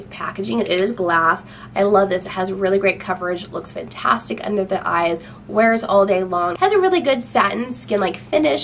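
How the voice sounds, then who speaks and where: Young woman doing a makeup collection vlog at home, a bit stuffy from a cold, chatty and upbeat, faint hum behind. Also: medium pitch. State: has a cold. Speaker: beauty vlogger. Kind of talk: casual product review to camera. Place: home room with shelves.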